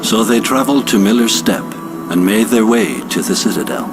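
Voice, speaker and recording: A man giving scripted narration of a fantasy game story in a measured, solemn storytelling manner. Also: on the low side. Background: loud music.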